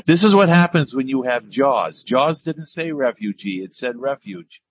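A man's voice: medium pitch, expressive, moderate pace, slight background noise. A man hosting an interview show, welcoming the guest in a chatty, self-deprecating way. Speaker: host. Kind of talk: casual talk show hosting. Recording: dull lo-fi sound.

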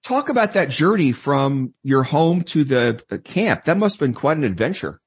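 A man's voice: fairly high pitch, very animated, moderate pace, slightly rough. A man co-hosting an interview show, asking questions in a stumbling way, often restarting his sentences. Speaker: co-host.

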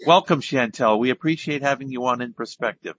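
A man's voice: medium pitch, fairly quick, a bit bright, dry recording. A man hosting an interview show, welcoming the guest in a chatty, self-deprecating way. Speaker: host. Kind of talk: casual talk show hosting. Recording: dull lo-fi sound.

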